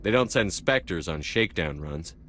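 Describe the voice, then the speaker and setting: Deep, serious space commander. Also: male voice, expressive, normal speed, fairly bright tone, a little hiss or hum. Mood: serious, wary. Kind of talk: video game character acting.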